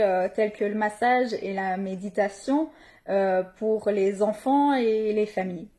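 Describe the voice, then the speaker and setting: A young woman from northern France introducing herself in a gentle, hesitant way, with many filler pauses. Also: on the high side. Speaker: spiritual page creator. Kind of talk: slow, hesitant personal introduction.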